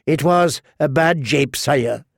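A man's voice: a little high, slow pace, gravelly, no echo. A man voicing a witty, bitter adult dwarf lord who speaks in a dry, mocking way.

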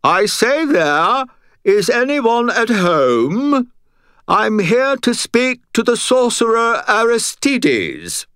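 Snooty, drawling British aristocrat, pitched high. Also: male voice, huge pitch swings, slow pace, fairly bright tone, smooth, no room sound, studio quality, heavily acted. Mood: haughty, condescending. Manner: pompous, drawling, exaggeratedly snooty. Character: haughty young man posing as imperious aristocratic wizard lawman.

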